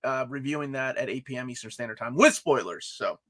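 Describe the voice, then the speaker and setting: A man's voice: mid-range voice, fairly quick, fairly bright tone. A young man co-hosting a casual comics livestream, friendly and full of fillers and restarts. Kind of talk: casual chat.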